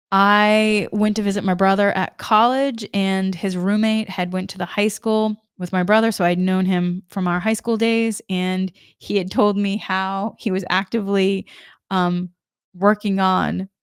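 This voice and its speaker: A woman's voice: medium pitch, clean tone, no echo. A woman from Massachusetts talks through a spiritual energy forecast, her faint Boston accent showing through. She is earnest and meandering, circling back and restarting when a word trips her up. The recording is dry and close. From Massachusetts, New England. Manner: earnest, rambling with restarts and stumbles. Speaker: spiritual channel host.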